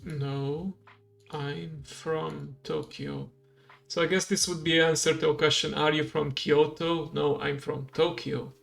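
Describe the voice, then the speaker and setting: Streamer who talks a lesson through slowly; slightly higher voice with a gravelly edge. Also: male voice, level tone, faint music behind. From Romania. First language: Hungarian. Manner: slow, thinks aloud, explains step by step. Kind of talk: live-streamed language lesson playthrough.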